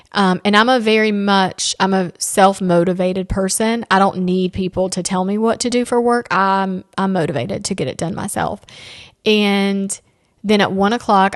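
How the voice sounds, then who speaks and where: A woman's voice: mid-range voice, no room sound, studio quality. A woman in her thirties hosting a casual solo podcast, chatty and candid, with playful asides. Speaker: podcast host.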